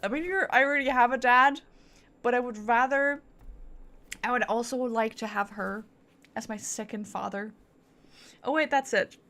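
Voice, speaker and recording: A woman's voice: fairly high pitch, fairly even intonation, normal speed, pauses often, sharp tone. Playful, rambling woman game streamer. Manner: rambling, thinking aloud with self-corrections. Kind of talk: casual livestream chat while gaming.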